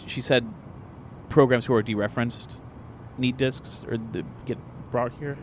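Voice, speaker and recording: A man playing a tabletop game, describing his actions in informal, halting, improvised chat. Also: mid-range voice, steady tone, darkish tone, slight background noise.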